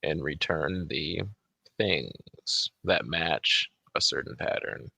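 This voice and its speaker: A man's voice: rumbling low, slow, creaky, sharp tone, breathy edge, no room sound. A man in a casual chat, explaining things offhandedly, self-deprecating and swearing casually. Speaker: guest, software developer.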